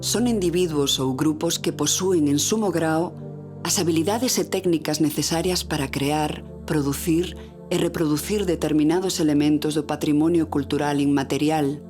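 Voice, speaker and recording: A woman with a Galician accent narrates in a measured, formal documentary voice. Her pitch sits lower than most women's. Faint music plays beneath her. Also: darkish tone. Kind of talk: scripted narration.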